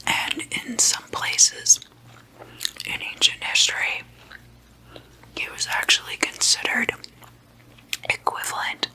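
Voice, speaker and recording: A woman whispers in an ASMR style, slowly and deliberately. The whisper is crisp and very bright, with an informal, gently playful delivery. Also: low-quality recording. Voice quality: breathy unvoiced whisper. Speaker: ASMR video host.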